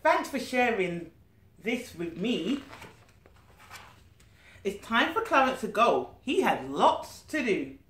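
Female storyteller, thirties, nanny style, very animated and expressive, recorded in a roomy living room. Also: on the high side, takes it slowly, pauses often, slight background noise. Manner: expressive, asks the listener questions. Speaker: nanny reading a storybook to children. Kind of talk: animated children's story reading with asides. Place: small living room, indoors.

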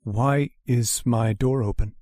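An actor playing a cold, puritanical male lodger who sermonizes with quiet menace in a radio drama. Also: medium pitch, fairly even intonation, slow, no room sound, clean studio sound. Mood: suspicious.

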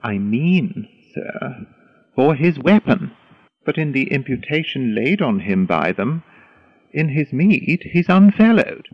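An actor playing a foppish, flattering courtier in a Shakespearean audio drama, with a mannered, flowery, deferential delivery. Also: male voice, mid-range voice, takes it slowly, no echo, dull audio, exaggerated voice. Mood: affected, eager. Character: foppish, flattering young male courtier, wordy and eager.

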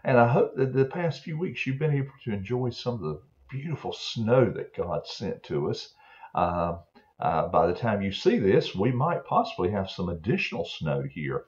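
An older man preaching a Bible story to children in a slow, earnest way, with many fillers and throat clearing, recorded on a webcam in a home office. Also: on the low side, sing-song, darkish tone. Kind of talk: gentle storytelling sermon for children. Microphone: webcam on a desk. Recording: dull lo-fi webcam audio.

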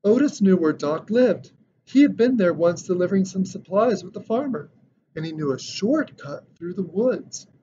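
A man in his thirties reading a children's picture book aloud with expressive, dramatic storytelling. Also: high-pitched, moderate pace. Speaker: storybook reader. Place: indoor decorated room. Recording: dull lo-fi sound with background noise.

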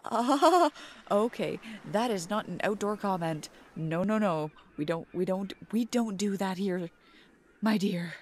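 A young woman doing a soft, playful, teasing ASMR girlfriend roleplay. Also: mid-range voice, lively intonation, measured pace.

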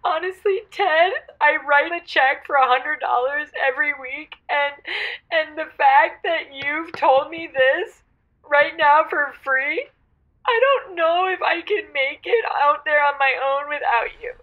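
A woman's voice: sits high, a little slow. An actress voicing a needy, emotional, oddball young woman who calls a radio show and talks in an earnest, gushing way. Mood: tearful, grateful. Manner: gushing, earnest, tearful at moments. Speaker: radio call-in guest. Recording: muffled phone call to radio show.

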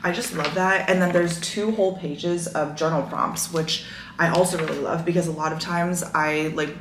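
A woman's voice: fairly low pitch, steady tone, moderate pace, fairly bright tone. A young woman in her twenties chatting casually to camera for her lifestyle vlog, with lots of fillers, recorded on a camera mic in her apartment. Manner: chatty, many fillers, enthusiastic about stationery. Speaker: lifestyle vlogger. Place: apartment living room, indoors. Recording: echoey room with faint noise.

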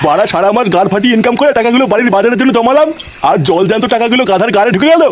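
Very high, racing Bengali cartoon villager man acting. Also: rapid-fire, a crowd in the background. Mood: scolding, angry. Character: excitable, scolding, foul-mouthed Bengali villager in a comic cartoon. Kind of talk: comic cartoon dialogue with crude slang.

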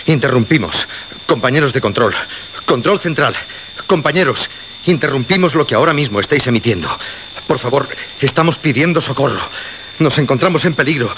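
A man's voice: mid-range voice, lightly acted. A man acting a desperate, doomed radio broadcaster in a Spanish horror radio play, pleading dramatically with a Castilian accent. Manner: pleading, dramatic, repeating himself. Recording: muffled radio recording with background noise.

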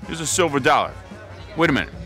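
A brash American small-time crook in a radio play. His voice runs high and swings widely as he talks like a slangy, womanizing wise guy, with music playing behind him. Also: very animated, quick pace, pushed and bright. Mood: cocky. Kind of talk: radio drama acting.

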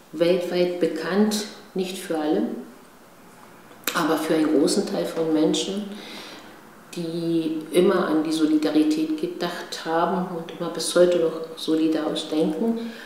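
A woman's voice: lowish voice, steady tone, mellow. Berlin woman in a very echoey room. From East Berlin, Germany, born in Chile. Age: 50s. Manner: halting, searching for words, often seeking agreement with tag questions. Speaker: interviewee, political activist. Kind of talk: personal testimony, recounting family history to camera. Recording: very echoey room, faint background noise.

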